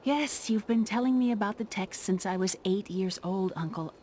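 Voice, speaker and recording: Woman acting a sceptical young niece, mid-pitched. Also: normal speed, a little hiss or hum, lightly acted. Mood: weary, affectionate. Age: young adult. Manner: natural, gently exasperated. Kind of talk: fantasy game dialogue.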